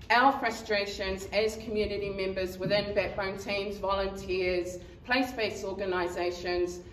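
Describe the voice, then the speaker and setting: Slow-speaking woman from New Zealand. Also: mid-range voice. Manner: halting, with frequent ums and nervous laughs. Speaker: community member presenter. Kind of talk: conference presentation. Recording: echoey room, mic at a distance, faint noise.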